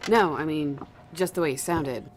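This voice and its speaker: A woman acting a brisk, blunt female security deputy in an audio drama, sardonic and familiar with her colleagues. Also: sits low, a little hiss or hum, natural voice. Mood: surprised, supportive. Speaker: supporting character, control-room colleague.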